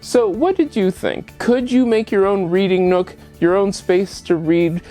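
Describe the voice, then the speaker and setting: Male how-to vlog host, chatty and direct to viewer, slightly high voice over background music. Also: a little slow, warm tone. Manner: chatty, addresses viewer with rhetorical questions. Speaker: home show host. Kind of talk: casual how-to vlog presentation. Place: bedroom at home.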